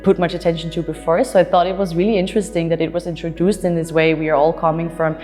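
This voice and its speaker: A young woman from Denmark giving measured, reflective interview answers. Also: lowish voice, flat delivery, mellow, faint music behind. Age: young adult. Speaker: program participant.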